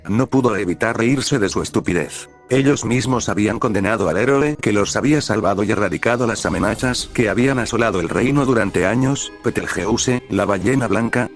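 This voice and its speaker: Male fanfic narrator, lowish voice. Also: fairly quick, hardly any pauses, soft background music. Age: young adult. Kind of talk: audiobook-style story narration.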